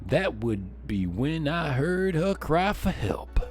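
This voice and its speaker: A pompous, slow-talking aristocratic baron. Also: male voice, a little high, very animated, soft background music. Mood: grand storytelling. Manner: grandiose, drawn-out, theatrical. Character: pompous aristocratic older baron witness, smug and grand.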